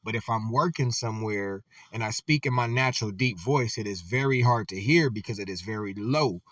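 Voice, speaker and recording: A man's voice: lowish voice, brisk, fairly bright tone, no room sound, studio quality, distant mic. A man giving loose, conversational reaction commentary, full of filler words.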